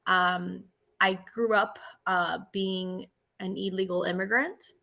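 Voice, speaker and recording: A woman from Argentina, a police officer in her thirties, telling her story in a relaxed, chatty podcast interview. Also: lowish voice, slow pace, vocal fry.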